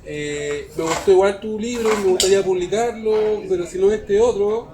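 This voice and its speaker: A man's voice: high-pitched, normal speed, background noise. A man interviewing in a casual, engaged literary conversation, often chiming in.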